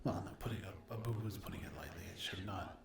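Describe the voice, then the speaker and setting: A man with a lowish voice gives a soft-spoken ASMR update to his viewers. He is hesitant and often drops into a whisper. The room echoes and there is heavy noise over the recording. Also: fast, gravelly. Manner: hushed, often slipping into whisper. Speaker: ASMR creator addressing viewers.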